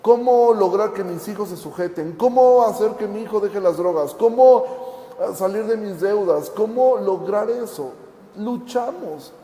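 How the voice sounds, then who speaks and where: A man's voice: high voice, a little slow, warm tone. A man preaching a sermon, teaching with rhetorical questions and acting out the complaints of his listeners. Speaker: pastor. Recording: echoey hall with long reverb.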